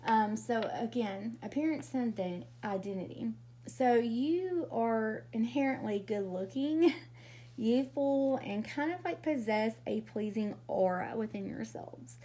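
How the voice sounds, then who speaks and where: A woman doing a casual tarot reading, her voice raspy from a cold, chatty and often checking in with "okay?". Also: fairly high pitch, expressive, measured pace, warm tone. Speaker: tarot reader.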